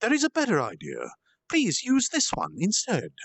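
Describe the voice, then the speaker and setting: A man's voice: high voice, wildly swooping pitch, moderate pace, vocal fry, no echo, pitched above the performer's own voice, exaggerated voice. A man voicing a devoted demon servant with a friendly, polite and deferential manner in a storybook reading. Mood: devoted, urgent. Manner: deferential, formal, eager to serve.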